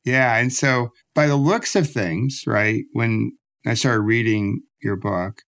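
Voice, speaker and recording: A man hosting a podcast from his home office, talking in a relaxed, chatty way with lots of casual fillers. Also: medium pitch, takes it slowly. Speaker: podcast host. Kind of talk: casual interview chat.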